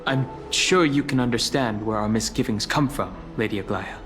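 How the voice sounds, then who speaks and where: A man playing a calm, guarded and courteous young traveler in a video game, speaking politely and with reserve. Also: mid-range voice, normal speed, music in the background, natural voice. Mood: wary, polite.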